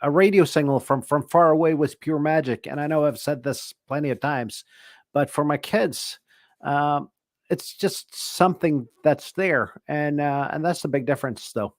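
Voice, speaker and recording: A man's voice: a little high, normal speed, no room sound, clean studio sound. A middle-aged man from Norway with a Norwegian accent, hosting a casual ham radio live chat and often restarting his phrases. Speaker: ham radio show host.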